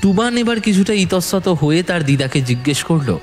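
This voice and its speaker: A man's voice: high-pitched, expressive, normal speed, soft background music. A man narrating a scripted horror audio story in a suspenseful, theatrical way.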